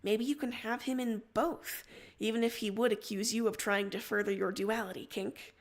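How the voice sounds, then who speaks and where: A woman's voice: on the high side, steady tone, moderate pace. A woman hosting a livestream, reading stories aloud and chatting casually. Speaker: livestream host and story reader. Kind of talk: story narration and casual livestream chat.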